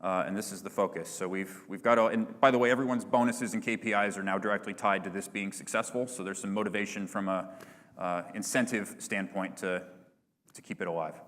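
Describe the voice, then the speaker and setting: A man with a lowish voice answers audience questions at a business conference. He talks in an easygoing corporate way, full of small fillers, and a long hall reverb trails after his words. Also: brisk. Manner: relaxed, filler-heavy corporate speaking. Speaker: conference presenter.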